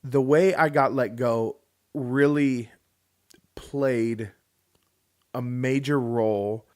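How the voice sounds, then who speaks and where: A man's voice: mid-range voice, fairly even intonation, slow pace, smooth. Rambling man telling a story. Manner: rambling with restarts, joking asides. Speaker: podcast co-host. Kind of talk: casual storytelling chat.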